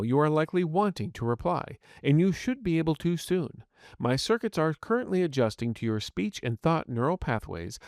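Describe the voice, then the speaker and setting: A man's voice: on the high side, gravelly, dry recording, studio quality. A man voicing a polite, earnest artificial intelligence medical assistant in an audio story, speaking formally and courteously. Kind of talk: scripted audiobook narration in character.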